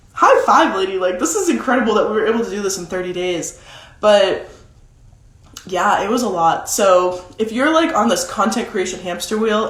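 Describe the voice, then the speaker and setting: A young woman with a deeper-than-usual voice talks casually in a roomy, echoey space. She chats freely, with lots of "like" fillers, as she coaches her viewers on content. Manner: chatty, many "like" fillers. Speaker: social media content coach. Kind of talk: casual livestream talk. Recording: roomy echo.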